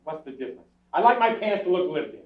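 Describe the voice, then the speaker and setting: A man's voice: high voice, big pitch swings, fast, recorded from a distance. An actor playing a henpecked, wisecracking husband in his forties, sardonic and exasperated, in old-time radio comedy style. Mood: grumbling. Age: middle-aged. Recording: dull, echoey old radio recording, off-mic.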